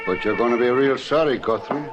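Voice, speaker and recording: An actor playing a smug, surly crime boss in an old radio crime drama, curt and threatening. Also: male voice, medium pitch, fairly quick, muffled recording, over loud music, lightly acted.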